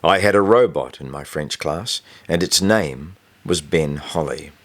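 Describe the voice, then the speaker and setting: A man's voice: extremely low pitch, measured pace. A man reading a novel excerpt as scripted audiobook narration, in the manner of a storyteller telling a first-person school tale.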